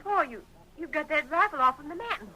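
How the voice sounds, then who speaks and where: An actress playing a spirited young American ranch bride, earnest and pleading in old-time radio Western melodrama style. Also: female voice, high voice, animated, fast talker, noisy background. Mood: defiant, pleading. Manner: earnest, plain-spoken Western talk. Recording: vintage radio drama recording.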